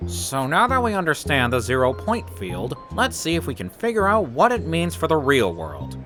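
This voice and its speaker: A man playing a brisk, enthusiastic male science teacher, lecturing a class in an emphatic, explanatory way. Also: on the high side, huge pitch swings, over music. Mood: instructive, keen. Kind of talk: classroom lecture in a comic dub.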